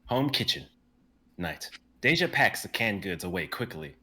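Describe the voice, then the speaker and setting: Young guy reading stage directions, low and bright voice. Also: very animated, normal speed, husky. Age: young adult. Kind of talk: dramatic script reading.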